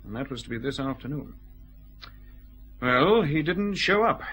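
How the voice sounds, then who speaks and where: An actor playing a cautious, fussy customs official, hesitant and precise, in a radio drama. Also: male voice, medium pitch, animated, a little slow, fairly bright tone. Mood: hesitant, confiding.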